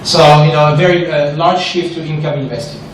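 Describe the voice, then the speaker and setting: A man with a French accent gives an animated investment seminar to an audience, often checking in with "right?". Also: on the high side, brisk, a little hiss or hum. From France. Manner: animated, asks the audience questions, frequent "right?". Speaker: investment specialist presenter. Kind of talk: seminar presentation. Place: large echoey room with an audience. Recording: echoey room from far off.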